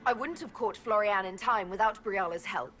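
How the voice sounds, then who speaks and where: A woman's voice: medium pitch, moderate pace, fairly bright tone, close to an everyday voice. An actress playing a shrewd, coolly confident female leader in a video game, dry, composed and pointed. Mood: firm. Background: music.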